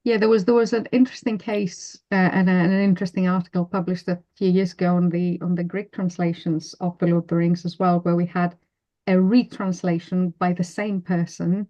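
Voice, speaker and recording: A woman's voice: on the low side, level tone, a little fast, dark tone, highs cut off. A woman speaking as an author on a roundtable panel, thoughtful, with a few fillers. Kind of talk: academic roundtable discussion.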